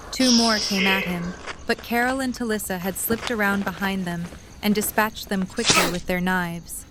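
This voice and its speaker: A woman's voice: medium pitch, very noisy. A woman narrator reading a scripted story for an audio drama.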